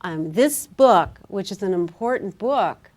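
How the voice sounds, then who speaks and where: A woman's voice: medium pitch, expressive, measured pace, a little mellow. A woman moderating a live literary discussion, asking musing, roundabout questions in a conversational way. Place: live public literary event.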